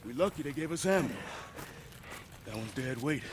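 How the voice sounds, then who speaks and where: An actor playing a blunt, dismissive infantry soldier. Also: male voice, medium pitch, expressive, normal speed, background noise, lightly acted. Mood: scornful. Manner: curt, scornful.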